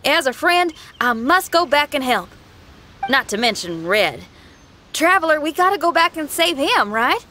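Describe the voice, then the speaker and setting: Young female street performer, high bright voice, spirited, earnest, folksy, video game dialogue. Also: big pitch swings, unhurried, slight background noise, heavily acted. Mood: concerned determined.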